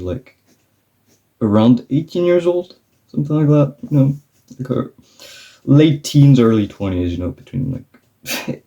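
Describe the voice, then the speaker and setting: A man's voice: lowish voice, vocal fry, dark tone, lo-fi sound. A young man chatting in a rambling way with fillers and laughs while he draws for his vlog. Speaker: vlogger. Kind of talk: casual chat while drawing.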